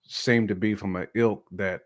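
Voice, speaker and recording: A man in his forties co-hosting a talk show, giving casual opinions in colloquial, halting speech that often repeats words. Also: fairly low pitch, fairly even intonation. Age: 40s.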